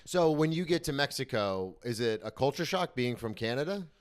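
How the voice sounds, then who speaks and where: A man from Florida hosting a podcast, chatty in a casual conversation and quick to bring in his own stories. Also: medium pitch, expressive. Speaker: podcast host.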